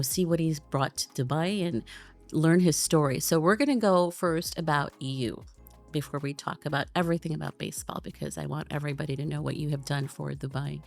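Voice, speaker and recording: Low-voiced, effusive woman host. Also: vocal fry. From Pakistan, raised in Chicago. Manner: eager, effusive, rambling questions. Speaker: podcast host. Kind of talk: casual interview chat.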